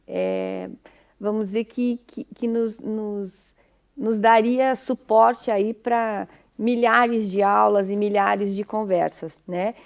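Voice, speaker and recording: A woman's voice: mid-range voice, a little mellow. A woman with a Brazilian Portuguese accent giving an informal, didactic talk as a speech therapist, often adding little check-in tag questions.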